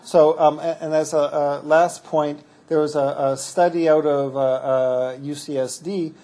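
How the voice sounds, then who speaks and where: Brief: male medical researcher at a lectern, explanatory and halting, mellow timbre. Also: a little high, fairly even intonation, a little hiss or hum. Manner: explanatory, frequent restarts and fillers. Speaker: medical researcher presenting findings. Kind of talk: academic conference talk with Q&A.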